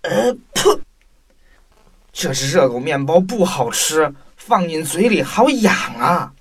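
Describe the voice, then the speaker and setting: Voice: mid-range voice, very animated, slow, husky, pitched below the performer's own voice, exaggerated voice. A performer voicing a grumpy, spluttering giant bread crab in a children's audio drama. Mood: disgusted.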